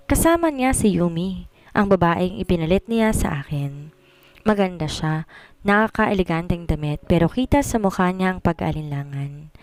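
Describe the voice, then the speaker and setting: A woman's voice: on the low side, a little mellow, no room sound. A woman with a Filipino accent reading a dramatic first-person romance story as scripted narration.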